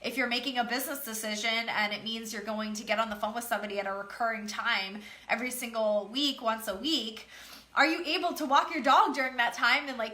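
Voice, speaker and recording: A woman's voice: on the high side, bright, forceful tone. A woman business coach giving a casual, emphatic motivational talk, slightly nasal and congested from a cold, repeating her key phrases.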